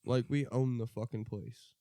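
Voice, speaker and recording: A man's voice: mid-range voice, fairly even intonation, normal speed, dark tone, dry recording. An American man co-hosting a podcast, chatting casually about politics in a blunt, profane, opinionated way. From United States. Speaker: podcast co-host.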